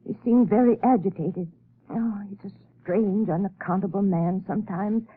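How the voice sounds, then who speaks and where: An actress playing a gentle, worried wife, polite and hesitant, in a 1930s radio drama. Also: female voice, medium pitch, normal speed, husky, close to an everyday voice. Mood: polite, uneasy. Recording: muffled, tinny 1930s radio recording.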